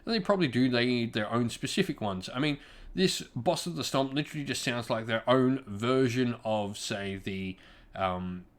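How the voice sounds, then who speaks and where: A man's voice: mid-range voice, moderate pace. Man giving casual gaming channel commentary. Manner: informal, conversational, addresses viewers directly. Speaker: YouTube gaming channel host. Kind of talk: casual opinion commentary to viewers.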